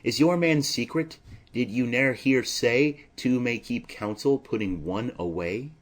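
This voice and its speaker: A man's voice: medium pitch, unhurried, close to an everyday voice. A man voicing a fussy, chattering older female nurse who rambles and exclaims, flustered and indignant, in a stage tragedy audiobook. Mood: chatty, fussy.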